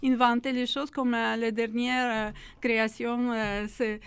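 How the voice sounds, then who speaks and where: A woman's voice: a little high, little pitch movement, a little slow, clear, resonant tone. A woman with a Russian accent, a café co-owner speaking as a radio interview guest, hesitant with many fillers.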